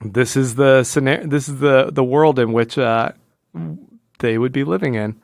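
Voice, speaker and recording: A man's voice: medium pitch, steady tone, a little slow, darkish tone, dry recording, studio quality. A man co-hosting a podcast, talking casually through a comic book in a rambling, explanatory way. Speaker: podcast co-host.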